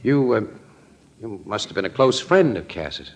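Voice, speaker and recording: An actor playing a wry, skeptical, streetwise newspaper photographer in an old-time radio crime drama, with clipped, slangy wisecracks. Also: male voice, on the low side, very animated, normal speed. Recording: dull, lo-fi old radio recording.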